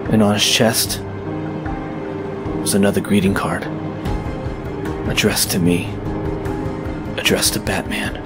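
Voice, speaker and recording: A man as a low, brooding vigilante. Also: close to an everyday voice. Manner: grave, clipped, deliberate. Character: brooding, terse male masked vigilante detective. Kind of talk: first-person scripted narration, audio drama. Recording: loud tense music behind.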